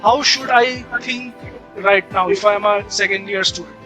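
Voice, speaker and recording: A man hosts an interview in a high, bright voice. He talks conversationally, building long questions by rephrasing them as he goes, with faint music behind him. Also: moderate pace. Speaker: interviewer, host.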